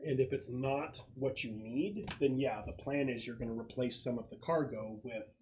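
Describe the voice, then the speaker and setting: A man's voice: mid-range voice, moderate pace, darkish tone. A man acting as game master, casually explaining plans to players at a tabletop roleplaying game. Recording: muffled, slightly noisy group recording.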